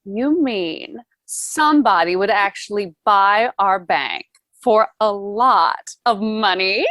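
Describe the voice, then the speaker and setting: A woman's voice: mid-range voice, lively intonation, takes it slowly, fairly bright tone, dry recording, clean studio sound. A woman playing a polite, genteel heiress who asks questions and wants more money, in a table read of a stage musical. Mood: surprised, doubtful.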